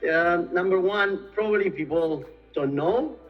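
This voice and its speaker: A man's voice: sits high, unhurried, muffled recording, faint music behind. Argentine-accented man, hesitant interview answers. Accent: Argentine Spanish. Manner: hesitant, many fillers and restarts. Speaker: real estate sales and marketing director.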